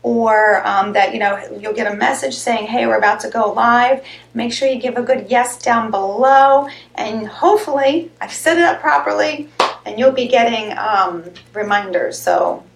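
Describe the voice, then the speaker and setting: A woman with a raspy, slightly hoarse voice chats loosely with viewers on a live stream. Her voice sits a bit high and turns gravelly as it drops. The room around her is echoey. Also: moderate pace. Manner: chatty, rambling, greets viewers by name. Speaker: host of a live health show. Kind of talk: casual live-stream chat.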